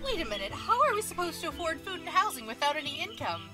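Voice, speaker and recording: Woman as a very high-pitched excitable wife. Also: fast talker, loud music behind the voice. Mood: excited. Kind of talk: cartoon parody voice acting.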